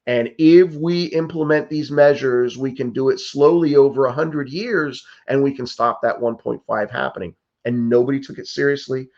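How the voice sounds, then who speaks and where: A man's voice: mid-range voice, moderate pace, hardly any pauses, a little mellow, lo-fi sound. Earnest, urgent man in his fifties. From United States. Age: 50s. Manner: earnest and urgent, many restarts and "like", "you know". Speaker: political candidate. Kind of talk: interview answers.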